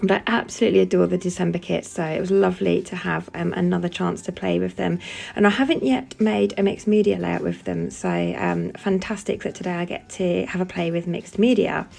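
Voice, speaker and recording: Brief: woman crafter with a head cold, very gravelly fry, chatty and full of "um"s. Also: fairly low pitch, steady tone, brisk, a little mellow, soft background music. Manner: chatty, lots of "um" fillers. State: has a cold, sniffling. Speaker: scrapbooking crafter demonstrating a layout. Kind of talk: casual voice-over for a craft process video.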